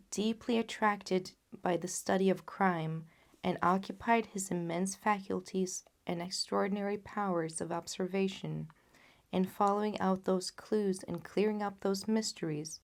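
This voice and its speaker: Lower-pitched woman reading a classic story. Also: gravelly, darkish tone. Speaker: audiobook reader. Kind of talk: scripted narration of classic fiction.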